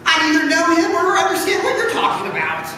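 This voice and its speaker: A man's voice: extremely high pitch, wildly swooping pitch, fast, pushed and bright, voice pushed up, exaggerated voice. Male reader as frightened denying disciple, scripture story, emphatic, far off, noisy recording. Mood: insistent denial. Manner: emphatic denial. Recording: echoey, noisy hall from far off.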